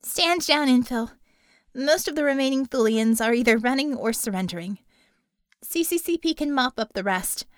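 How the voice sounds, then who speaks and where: Female field operative played by a woman narrator, higher voice, clipped military calls, audiobook action. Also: expressive, normal speed, no echo, clean studio sound. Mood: exhausted. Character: urgent female field operative calling over comms.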